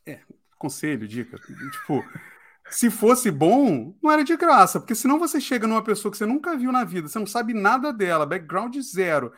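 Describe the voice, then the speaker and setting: Brazilian male podcast host, emphatic and opinionated, voice sits a bit high. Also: big pitch swings, brisk, fairly bright tone. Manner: opinionated, emphatic, keeps asking "né?". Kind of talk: casual podcast discussion.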